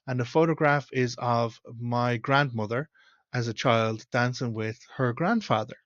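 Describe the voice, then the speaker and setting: A man's voice: medium pitch, measured pace, fairly bright tone, no room sound. Irish man telling stories candidly to camera. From Ireland. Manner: candid, reflective storytelling with frequent fillers. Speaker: host. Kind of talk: casual talk to camera. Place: small room at home, indoors. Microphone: webcam at desk. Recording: dull, slightly lo-fi webcam sound.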